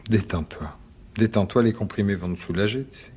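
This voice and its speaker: An actor playing a placating, skeptical husband in a French radio drama, talking in a colloquial, reasoning way with some exasperation. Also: male voice, fairly low pitch, a little fast, dark tone, a little hiss or hum, lightly acted. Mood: concerned, soothing.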